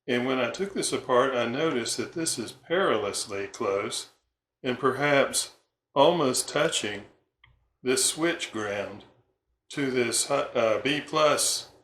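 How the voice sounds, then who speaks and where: A man giving a casual hands-on repair demonstration, thinking aloud in a halting way as he works. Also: medium pitch, animated, fairly bright tone. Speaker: radio repair hobbyist and narrator. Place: indoors at a repair bench. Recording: roomy echo, background noise, small clicks.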